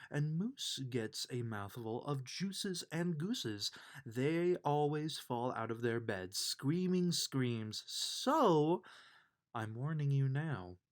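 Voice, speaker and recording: Bedtime storybook reader: male, medium pitch, leisurely, expressive delivery. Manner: expressive, unhurried read-aloud delivery. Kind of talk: bedtime children's story read aloud.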